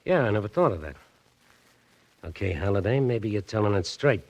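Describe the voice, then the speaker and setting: Husky, menacing gangster henchman. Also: on the low side, expressive, brisk, a little mellow. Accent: American. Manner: curt, threatening tough talk. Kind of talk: 1940s radio crime drama acting.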